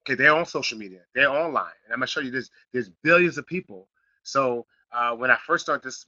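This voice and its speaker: Middle-aged man, very bright tone, slightly raspy from a sniffle, dull lo-fi call audio. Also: medium pitch, no room sound. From Philadelphia, Pennsylvania. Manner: repetitive, restarts phrases, builds to emphatic points. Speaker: online marketing class host. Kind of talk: live teleseminar class, casual coaching.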